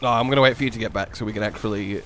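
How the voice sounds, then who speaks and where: A man in casual, playful gameplay banter as a co-host, excitable and given to swearing. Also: lowish voice, racing, a little hiss or hum. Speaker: co-host of a gaming video.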